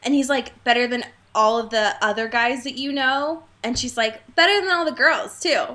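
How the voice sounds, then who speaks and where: A woman's voice: on the high side, moderate pace, bright, forceful tone. A woman co-hosting a casual, comedic book discussion, exclaiming, swearing freely and often breaking into laughter as she talks. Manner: laughs often while talking. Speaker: podcast co-host.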